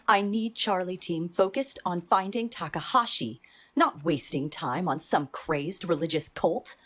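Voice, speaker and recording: A stern female commander in an anime audio drama, acted by a woman. Her voice sits low with a husky grain, and she speaks with authority. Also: unhurried, a bit bright. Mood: stern, impatient.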